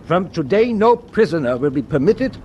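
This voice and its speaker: A stern, animated German sea captain. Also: male voice, a little high, muffled recording, a little hiss or hum. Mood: cold, menacing. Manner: clipped, deliberate, imperious, formal. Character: stern, proud middle-aged German captain of a wartime tanker. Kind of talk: radio drama acting.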